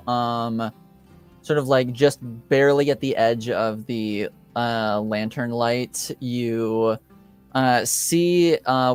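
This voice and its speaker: A man narrates a tabletop roleplaying game as its game master, making it up as he goes. His delivery is very slow and halting, with frequent pauses and ums. His pitch is average, and soft music sits in the background. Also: smooth.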